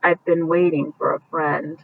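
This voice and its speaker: A woman's voice: deep voice, level tone, moderate pace, gravelly, pitched below the performer's own voice. Lady doing a sweet wistful little girl butterfly from a storybook, sounds a bit muffled and distant. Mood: sad. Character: small, wistful female butterfly, sweet and loyal. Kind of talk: storybook character reading. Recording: muffled, distant mic with faint background noise.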